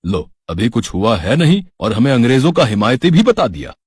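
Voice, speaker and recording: A man voicing a good-humoured middle-aged Indian husband and father in a cartoon story, husky, coaxing and wry. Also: medium pitch, sing-song, a little fast, dry recording, clean studio sound.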